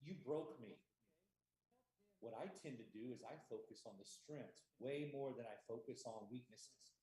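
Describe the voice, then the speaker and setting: Emphatic American man with echo. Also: medium pitch. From United States. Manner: emphatic, animated, stresses key words slowly. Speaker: conference speaker. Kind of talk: academic conference talk. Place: remote conference stream. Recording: echoey room.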